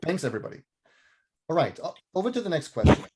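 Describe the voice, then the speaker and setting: A man hosting and moderating a panel, speaking clearly and orderly on a video call. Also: a little high, big pitch swings, fast talker, a little mellow, slight background noise. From Germany. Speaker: host, moderator. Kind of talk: scripted introduction and moderating.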